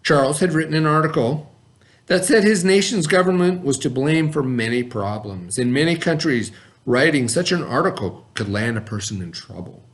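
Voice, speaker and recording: Man reading aloud to kids, higher voice. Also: expressive, normal speed. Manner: explains and asks the class questions between passages. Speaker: teacher. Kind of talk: reading a book aloud to children.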